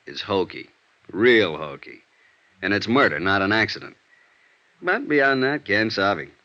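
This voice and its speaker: A man's voice: fairly low pitch, lively intonation, measured pace, sharp tone. An actor playing a dogged, world-weary Mexican-American police sergeant who talks informally about his hunches in a 1950s radio drama. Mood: musing, dogged. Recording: dull vintage radio recording.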